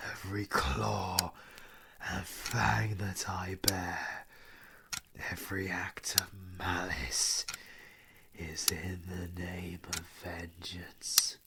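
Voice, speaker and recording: Man as a British male werewolf, grieving villain, audio roleplay, deep breathy growl, extremely slow halting delivery. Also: background noise, exaggerated voice. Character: brooding male werewolf villain, vengeful, grieving, tender to lover.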